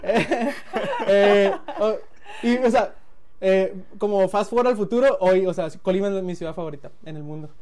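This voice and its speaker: A man's voice: high-pitched, moderate pace, a little mellow. Mexican dude late 20s telling his story, chill, laughy, lots of restarts. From Sinaloa, Mexico. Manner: laughs often, restarts mid-phrase, acts out quotes. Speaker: guest. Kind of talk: casual storytelling chat.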